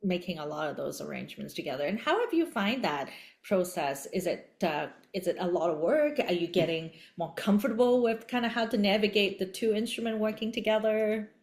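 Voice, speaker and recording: A woman's voice: mid-range voice, expressive, fairly quick, vocal fry, few pauses. A woman interviewer asking long, layered questions in a friendly way.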